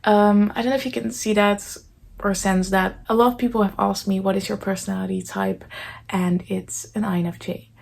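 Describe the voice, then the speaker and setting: Woman, young adult, Dutch native speaker, earnest, soft-spoken, gravelly fry, mid pitch. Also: steady tone, a little fast, a little hiss or hum. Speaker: booktube host. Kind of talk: casual Q&A talk to camera.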